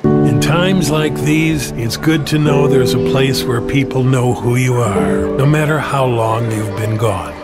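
A man narrates a sentimental scripted ad. His voice sits at a middle pitch, with loud music underneath. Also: measured pace. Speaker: commercial announcer.

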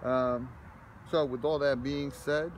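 A man's voice: mid-range voice, fairly even intonation, takes it slowly, rough recording. A man in his thirties gives a casual, rambling movie review, filmed on a phone at arm's length in a parking lot. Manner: rambling, loose, many fillers and restarts. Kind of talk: casual selfie video review. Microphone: phone camera held close at arm's length. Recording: outdoor phone recording with clear background noise. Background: outdoor ambience with passing vehicles.

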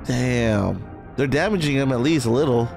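A young man giving casual, excited reaction commentary with slangy, profane exclamations into a close studio mic. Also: medium pitch, normal speed, creaky, soft background music. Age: young adult. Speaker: movie reaction host. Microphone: close boom-arm studio microphone, headphones.

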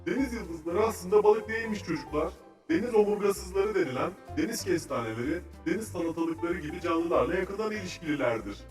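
Someone playing a know-it-all cheery cartoon kid, talks fast explaining stuff, echoey kids show sound. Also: mid-range voice, lively intonation, darkish tone, close to an everyday voice. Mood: helpful, instructive. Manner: instructive, enthusiastic, articulate. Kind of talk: children's educational cartoon dialogue. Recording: roomy, distant sound with faint music.

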